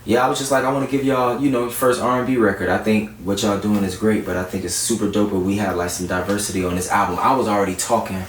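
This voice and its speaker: Low-voiced man, echoey distant recording. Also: fairly even intonation, fast talker, few pauses. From South Florida. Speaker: guest R&B singer-songwriter. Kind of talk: casual interview chat. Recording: roomy echo, distant mic, faint noise.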